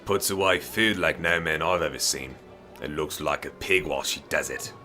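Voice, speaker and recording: A man playing a surly, bitter village thug with a crude, gruff, menacing manner. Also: rumbling low, steady tone, moderate pace, pushed and bright, faint music behind. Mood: cruel mockery. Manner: gruff, foul-mouthed, menacing.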